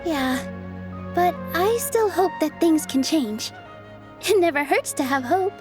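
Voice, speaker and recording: A young dancer in a game, her voice high and light, gentle and sincere as she speaks. Soft game music drifts faintly behind her. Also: lively intonation, slow pace, heavily acted. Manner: soft-spoken, gentle, earnest. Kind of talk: video game voice acting.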